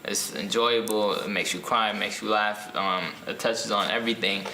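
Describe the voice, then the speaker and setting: A young man from Ethiopia, an author answering interview questions casually, pausing often with ums and restarts. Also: on the low side, fairly even intonation, vocal fry, pushed and bright, roomy sound, a little hiss or hum, poor audio. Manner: hesitant, many ums and restarts.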